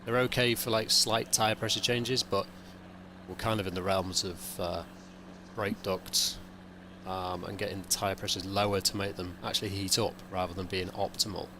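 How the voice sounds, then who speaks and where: A man streaming a sim race, with a croaky, hungover voice, rambling and thinking aloud in casual chat. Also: low voice, level tone, normal speed, background noise. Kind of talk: casual live-stream commentary.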